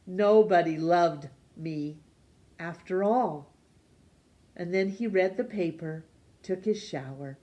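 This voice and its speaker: A woman reading a children's picture book aloud for storytime, gently and expressively. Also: deep voice. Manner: gentle, slow and expressive, voicing characters.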